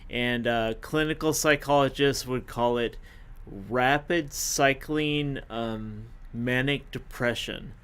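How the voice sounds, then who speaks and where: A man of fifty, a podcast guest, telling his own story in a casual, halting way with many fillers and self-corrections. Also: mid-range voice, a bit bright, clean tone. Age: 50s.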